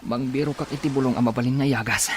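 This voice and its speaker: A man acts out a shipwrecked man in an Ilocano radio drama. His mid-pitched voice is confused yet helpful, halting and gasping as he talks to himself. There is faint noise behind him. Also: steady tone, lightly acted. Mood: urgent concern. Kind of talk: Filipino radio drama acting.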